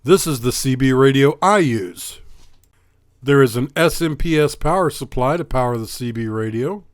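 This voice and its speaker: A man's voice: medium pitch, moderate pace, dry recording, studio quality. A man reading scripted explainer narration as host of an electronics channel, in a plain, instructional manner.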